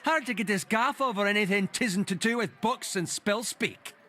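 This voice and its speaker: A man voicing a crude, brash female spirit with a Scottish accent, talking in a rough, mocking way. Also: high voice, moderate pace, sharp tone, pitched above the performer's own voice.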